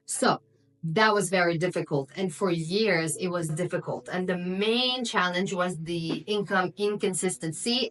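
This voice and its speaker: A woman's voice: medium pitch, fairly bright tone, low-quality recording. A woman in her thirties, raised in Europe after an early childhood in North Africa and not a native English speaker, talking straight to camera in an upbeat, conversational vlog with frequent fillers. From Morocco, raised in Belgium. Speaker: vlogger, entrepreneur. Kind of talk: casual direct-to-camera vlog.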